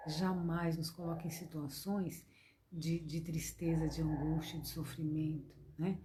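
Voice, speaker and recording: A hoarse woman with a Brazilian accent teaching a devotional spiritist lesson in a gentle, repetitive way, often addressing her listeners affectionately. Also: sits low, flat delivery, a little slow, slight background noise. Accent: Brazilian Portuguese. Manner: gentle, repetitive, calls listeners "gente" and "meus amores". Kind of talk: devotional lesson to an online class.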